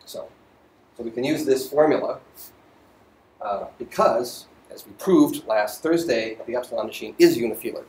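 A man giving an informal university physics lecture, halting as he thinks aloud. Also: medium pitch, a little mellow. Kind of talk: lecture voiceover on slides, informal explaining. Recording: roomy echo, faint background noise.

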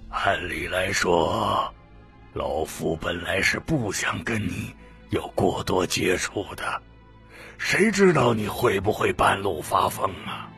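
A man's voice: low voice, lively intonation, slow pace, creaky, a bit bright, soft background music, heavily acted. A man playing a sly old cult member in an audiobook, drawling and mockingly polite. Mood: condescending. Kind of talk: dramatic audiobook acting.

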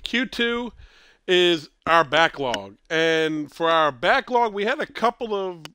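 A man in his thirties hosting a casual gameplay stream, stuffy with a cold and clearing his throat, rambling and correcting himself as he talks. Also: a little high, pushed and bright. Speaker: streamer host.

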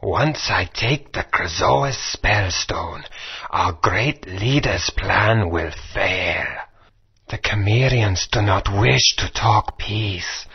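A man's voice: on the low side, takes it slowly, gravelly, husky, heavily acted. An actor playing a cold, menacing male villain. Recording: dull lo-fi game audio.

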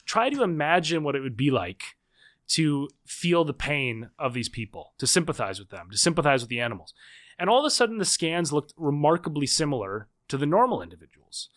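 Fast-talking man with frequent restarts. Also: fairly high pitch, a bit bright. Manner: quick, many restarts and repeated words. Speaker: podcast guest, author. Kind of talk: conversational interview.

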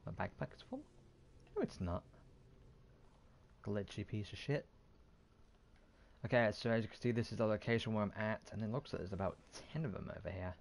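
A man's voice: lowish voice, gravelly, a little hiss or hum. A man hosting a gaming video, giving casual commentary as he plays, on a close mic.

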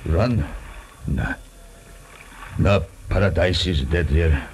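An actor playing a nervous, cowardly prince turned crook, halting and repeating words in 1940s radio style. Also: male voice, sits low, a little mellow. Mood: dismayed, resigned. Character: nervous, cowardly foreign prince, a criminal sidekick. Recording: noisy old radio recording.

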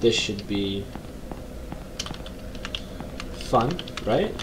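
Low-voiced young man joking through horror game. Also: lively intonation, slow. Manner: joking, reads on-screen text aloud, nervous mock bravado. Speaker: gaming YouTuber. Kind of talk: horror game let's play commentary. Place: small bedroom, indoors. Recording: noisy home recording.

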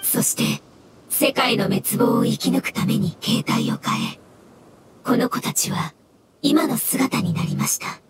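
A woman voicing a meek, sorrowful, polite elemental creature in an anime game, speaking softly. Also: sits high, a little slow, gravelly, very noisy. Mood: sad, explanatory. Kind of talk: Japanese anime game voice acting. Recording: loud music behind the voice.

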